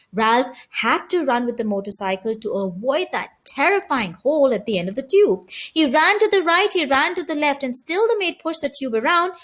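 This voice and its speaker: Female storyteller for a children's audiobook: high voice, very lively intonation, dramatic emphasis. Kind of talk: expressive children's story reading.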